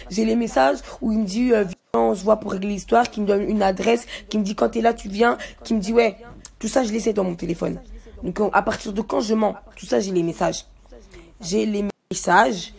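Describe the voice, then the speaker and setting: A woman's voice: medium pitch, vocal fry, darkish tone. A young woman giving a casual, slangy rant to her followers, defiant and emphatic, repeating herself to make her point. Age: young adult. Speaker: social media personality telling her side of a story.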